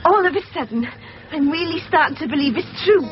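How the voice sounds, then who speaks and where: A woman's voice: sits high, expressive, brisk. An actress playing an earnest, trusting woman in a 1990s radio drama, speaking with wistful feeling. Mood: wistful, hopeful. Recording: dull lo-fi radio broadcast copy. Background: music.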